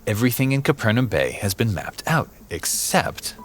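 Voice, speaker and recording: A man's voice: medium pitch, sing-song, moderate pace, a little hiss or hum, natural voice. An actor playing an earnest, diplomatic male investigator in an audio drama, polite and careful in his phrasing. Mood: thoughtful. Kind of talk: dramatic audio fiction acting.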